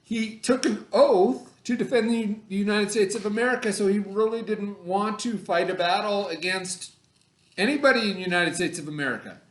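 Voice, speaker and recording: Guy teacher talking to little kids, kinda high voice, lots of check-in questions, roomy echo. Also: normal speed. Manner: conversational, checks understanding with questions and repetition. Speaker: elementary school teacher. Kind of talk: read-aloud lesson for children, casual explaining. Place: indoor room, remote video lesson. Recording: echoey room.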